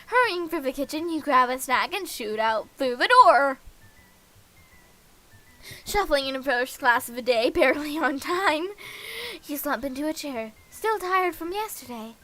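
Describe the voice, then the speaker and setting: A woman's voice: high voice, animated, measured pace, sharp tone. A woman streaming a visual novel, reading it aloud with playful asides and bits of nonsense scatting. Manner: playful, scatting nonsense syllables and muttering to self. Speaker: game streamer.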